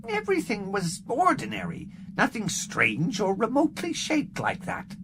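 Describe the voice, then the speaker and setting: Squawky nasal alien barkeep, greedy and jumpy, voice jumps all over, gravelly edges, hissy noisy recording. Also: male voice, high-pitched, huge pitch swings, moderate pace, voice pushed up, heavily acted. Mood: eager. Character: greedy shrill male Ferengi barkeep. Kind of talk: audiobook character reading.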